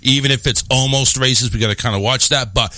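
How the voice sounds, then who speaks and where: A man's voice: medium pitch, steady tone, fast talker, bright, forceful tone, dry recording. A man hosting a radio talk show, reassuring his guest and joking, often stammering and repeating himself. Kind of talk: casual radio chat.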